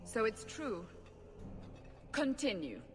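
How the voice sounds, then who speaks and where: A woman's voice: a little high, very animated, slow pace, music in the background. A woman playing a stern, sceptical interrogator in a fantasy video game, terse and demanding. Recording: long echoing hall reverb.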